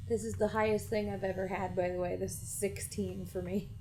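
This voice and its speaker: A woman's voice: mid-range voice, little pitch movement, brisk, dark tone, a little hiss or hum. A woman chatting casually as a player in a tabletop roleplaying game.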